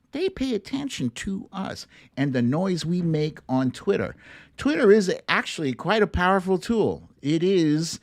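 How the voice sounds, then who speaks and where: An animated man talks like a playful livestream host. His voice sits fairly high and swings widely in pitch, and he takes his time over the words in a loose, casual way. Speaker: host of an online show. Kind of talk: casual livestream chat, comic picture-book reading and political commentary.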